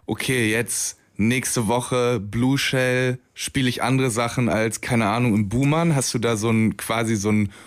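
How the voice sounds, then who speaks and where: A man hosting a campus radio show, asking a guest casual questions with a relaxed, conversational manner and some fillers. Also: mid-range voice, unhurried, hardly any pauses. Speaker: radio host.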